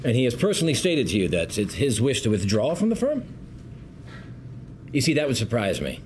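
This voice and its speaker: A man playing a smooth, condescending law firm partner at a script read, polished and measured. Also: mid-range voice, wildly swooping pitch, a little mellow, a little hiss or hum. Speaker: actor at a script table read. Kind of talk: acted script read at a table.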